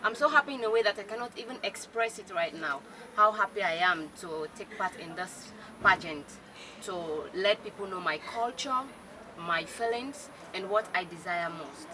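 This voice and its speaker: A woman's voice: medium pitch, measured pace, noisy background. A woman in her twenties, a pageant contestant speaking earnestly and effusively about herself. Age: 20s. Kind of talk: spoken self-introduction.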